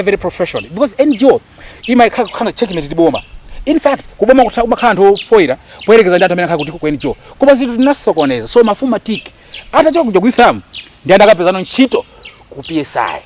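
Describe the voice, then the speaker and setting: A Malawian man with a high-pitched voice tells stories in an interview at a very rapid clip. He is emphatic and sure of himself, pausing on an occasional "eh". Clear background noise and birdsong sit behind him. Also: sing-song, a little mellow. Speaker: interview guest, actor and lecturer. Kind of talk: animated conversational storytelling in an interview.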